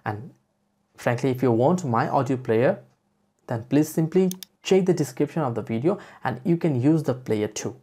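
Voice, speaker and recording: Female tutorial presenter for screen-recording voice-over; very deep pitch, slightly husky, mellow. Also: lively intonation, moderate pace. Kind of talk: software tutorial narration.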